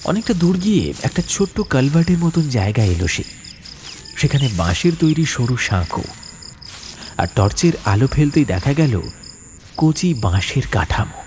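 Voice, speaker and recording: Expressive male horror storyteller, lively pitch. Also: moderate pace, slight background noise. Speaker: audiobook narrator. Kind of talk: dramatic horror story narration.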